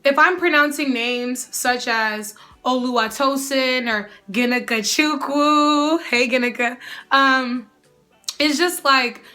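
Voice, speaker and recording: A young woman answering viewer questions in a casual vlog, sassy and animated. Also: sits high, takes it slowly, soft background music. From United States. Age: young adult. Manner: sassy, animated, often calls the viewer "girl".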